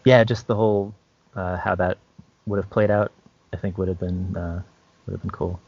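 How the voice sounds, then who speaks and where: A man co-hosting a podcast, chatting casually in loose, hesitant phrases with many pauses. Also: low voice, level tone, gravelly, a little mellow, husky. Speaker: podcast co-host. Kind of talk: casual chat. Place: podcast recording. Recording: dull lo-fi, very dry sound.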